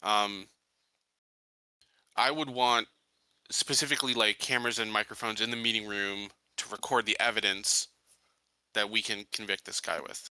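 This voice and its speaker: A man's voice: fairly low pitch, fairly even intonation, moderate pace, long pauses, bright, forceful tone, clean tone, no echo. A man talking casually as a player in a tabletop roleplaying game.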